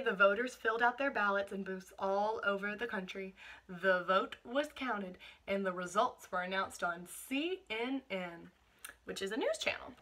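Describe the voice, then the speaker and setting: A woman reading a picture book aloud to children as a teacher, expressive, with explanatory asides and questions for young listeners. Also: on the high side.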